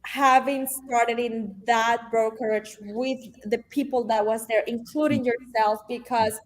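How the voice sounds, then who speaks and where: Chatty Venezuelan woman, bright and upbeat. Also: a little high, steady tone, unhurried, low-quality recording. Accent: Venezuelan Spanish. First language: Spanish. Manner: upbeat, chatty, many fillers like "honestly" and "um". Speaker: guest, realtor. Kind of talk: casual interview conversation.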